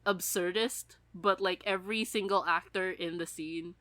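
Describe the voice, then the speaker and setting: A woman's voice: on the low side, fairly even intonation, normal speed, fairly bright tone, no echo. A young adult woman co-hosting a podcast in casual fan chat, rambling along in a giggly way. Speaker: podcast co-host.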